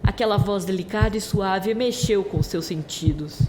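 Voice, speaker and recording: A woman's voice: medium pitch, moderate pace, a little mellow, a little hiss or hum. A woman narrating a scripted story as part of an audio drama. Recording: long reverberant hall-like echo. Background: clear music bed.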